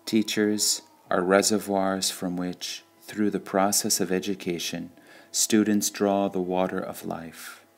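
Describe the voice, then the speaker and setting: A man reading devotional sayings from a script in a measured, deliberate way, pausing between short phrases. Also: deep voice, little pitch movement, vocal fry. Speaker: reader of spiritual quotations.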